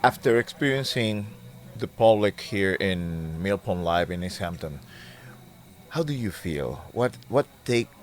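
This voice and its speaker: A man working as an interviewer, putting long, deliberate questions in a conversational style. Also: deep voice, expressive, a little hiss or hum.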